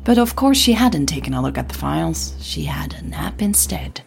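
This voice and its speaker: A woman with a husky, breathy voice narrating a scripted audio drama story. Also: deep voice, sing-song, moderate pace, a little mellow, faint music behind.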